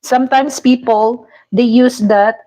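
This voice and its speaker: A Filipino woman chatting casually with viewers in halting English, full of fillers and restarts. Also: on the high side, fairly even intonation, mellow, rough recording. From Philippines. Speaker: vlogger. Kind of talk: casual live chat with viewers. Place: home kitchen.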